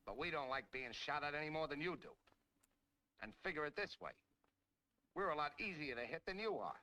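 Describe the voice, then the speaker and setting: Tough grumbling American tank soldier, 1940s training film: brash, sharp, swooping emphatic delivery, noisy old soundtrack. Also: male voice, on the high side, expressive, a little fast. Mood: gruff complaint.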